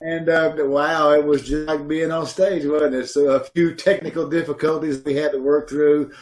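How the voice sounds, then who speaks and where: A man's voice: fairly high pitch, little pitch movement, normal speed, darkish tone. A man with a slightly raised, easy speaking voice wraps up a live show over a video call from home. He talks loosely and chattily, laughing along as he goes. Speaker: show host introducing the cast. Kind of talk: casual live sign-off.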